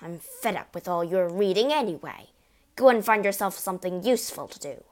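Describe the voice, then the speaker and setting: A woman's voice: mid-range voice, big pitch swings, normal speed. A woman voicing a bullying, middle-aged father who snaps and barks. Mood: barking. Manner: snapping, barking, sneering.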